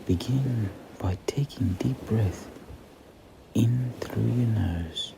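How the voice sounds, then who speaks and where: A man guiding a meditation in a soothing, breathy near-whisper with long pauses. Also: on the low side. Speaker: meditation guide. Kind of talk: slow guided meditation. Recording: clearly audible background ambient noise.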